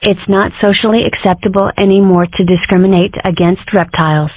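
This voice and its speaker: Opinionated female cartoon animal woman actor, mid-pitched, earnest and declarative, dry narrow telephone-grade recording. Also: fairly even intonation, fairly quick, no echo, natural voice. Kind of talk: comic dub voice acting. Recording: dry, phone-like narrow sound.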